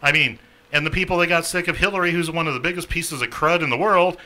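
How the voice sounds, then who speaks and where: A man's voice: on the high side, fast talker. A man on a podcast, ranting with strong opinions and a big mouth. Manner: loud, ranting, mocking voices. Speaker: podcast co-host. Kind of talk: casual opinionated chat.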